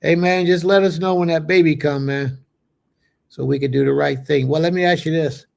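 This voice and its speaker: A man's voice: on the high side, steady tone, normal speed, vocal fry, a little mellow. A man in his late thirties hosting a religious fellowship livestream, reading viewer comments aloud and answering them in easy chat, with Hebrew blessings sprinkled in. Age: late 30s.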